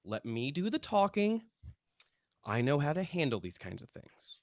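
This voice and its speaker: A man's voice: a little high, very animated, normal speed, no room sound, studio quality. A man playing an intense, earnest young amateur paranormal investigator in a script read, self-important and conspiratorial. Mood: ominous, grave. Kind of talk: table read of a TV pilot script.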